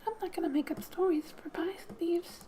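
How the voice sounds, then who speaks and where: A woman softly narrating a game as ASMR host, breathy and often near a whisper, with gentle, unhurried, playful asides. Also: very high-pitched, fairly even intonation, slight background noise. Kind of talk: soft-spoken ASMR game narration, reading text aloud with asides.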